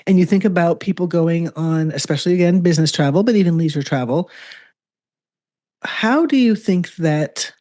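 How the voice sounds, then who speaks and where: A woman's voice: low voice, warm tone. A woman hosting a conversational interview, affirming and reflective, building her thoughts into questions. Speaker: interview host.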